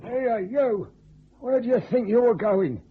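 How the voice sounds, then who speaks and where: Old night watchman, working-class English accent, high husky voice, blunt and colloquial, tinny vintage radio sound. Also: measured pace, very noisy. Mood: gruff, suspicious. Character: gruff, plain-spoken old night watchman. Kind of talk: old-time radio detective drama acting.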